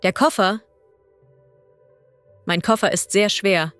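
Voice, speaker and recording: Woman reading vocabulary very slowly. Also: medium pitch, fairly bright tone, no echo, soft background music. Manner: slow, clear and deliberate. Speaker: language teacher. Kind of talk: reading vocabulary and idioms aloud.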